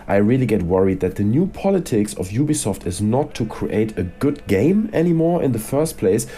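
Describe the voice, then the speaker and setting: A man's voice: on the low side, warm tone. A man with a cold, his voice a bit congested, talking casually and with strong opinions about video games as a YouTuber. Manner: chatty and opinionated, rants with frequent "you know" and "right?". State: has a cold, voice slightly congested. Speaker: gaming YouTuber.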